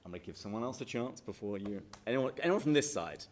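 A man's voice: fairly low pitch, lively intonation, fast talker, a little hiss or hum. A man with an English accent giving a light-hearted church talk to a live audience, quizzing them with jokes before leading a prayer. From England. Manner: jokey and chatty, quizzes the audience, then leads prayer solemnly. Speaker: speaker at a parish talk. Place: hall with an audience.

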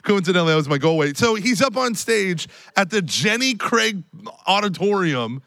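An American man with an allergy-hoarse voice, co-hosting a live podcast with comic storytelling and wry teasing. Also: high-pitched, big pitch swings, moderate pace, a bit bright, dry recording, studio quality. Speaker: podcast co-host and conference speaker. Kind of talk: comic storytelling, casual banter. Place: on stage before a live audience.

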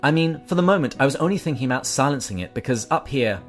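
A man voicing a fussy, evasive male ghost who pleads and makes excuses in a higher, strained voice. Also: animated, fast, faint music behind, close to an everyday voice. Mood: pleading. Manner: whiny, pleading, self-excusing.

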